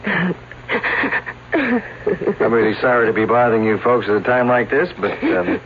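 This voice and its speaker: An actor playing a patient, persistent police lieutenant in a 1970s radio drama, politely probing in a measured, hesitant way. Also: male voice, mid-range voice, expressive, crowd noise, close to an everyday voice. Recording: muffled, faintly noisy old radio broadcast.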